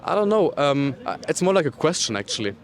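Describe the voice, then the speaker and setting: A man from Germany, answering street interview questions in a casual, hesitant way with many pauses. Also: a little high, big pitch swings. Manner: hesitant, many fillers and restarts. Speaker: tourist interviewee. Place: city street outdoors.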